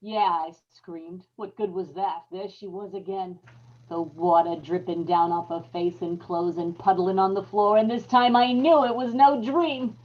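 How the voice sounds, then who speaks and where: A woman's voice: medium pitch, steady tone, close to an everyday voice. A woman playing a guilty, unhinged wife giving an intense, dramatic confession in an old-time radio horror play. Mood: horrified. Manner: intense, emphatic, repetitive storytelling with dramatic pauses. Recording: dull lo-fi live radio-play audio.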